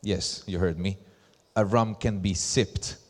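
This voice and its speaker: Male presenter, Slovak accent, lower register, deliberate emphatic phrasing, live stage talk with long hall reverb. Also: steady tone. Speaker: presenter, brand ambassador. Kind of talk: conference presentation. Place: large hall at a live event.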